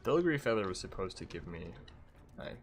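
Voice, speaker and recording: A man's voice: low voice, big pitch swings, fairly quick, faint music behind. A man with a stuffy, congested voice giving rambling, self-mocking commentary as he streams a game. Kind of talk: casual gameplay commentary.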